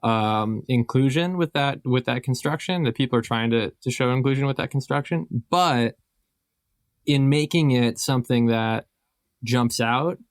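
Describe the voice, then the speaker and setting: A man co-hosting a podcast in casual chat, with an informal, halting and wry way of talking. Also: medium pitch, no room sound, studio quality. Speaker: podcast co-host.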